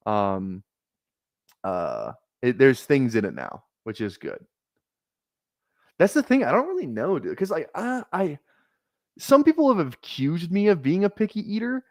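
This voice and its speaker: A man's voice: a little high, huge pitch swings, measured pace, pauses often, dry recording, clean studio sound. Rambling young man on a livestream. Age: 20s. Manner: rambling, laid-back, swears often, laughs at himself. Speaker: fantasy football streamer. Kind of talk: casual livestream chat. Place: small apartment room.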